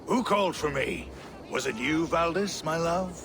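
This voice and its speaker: An actor playing a brash, boastful Viking warlord in a video game cutscene, with a swaggering, theatrical delivery. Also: male voice, on the high side, animated, measured pace, noisy background. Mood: mocking.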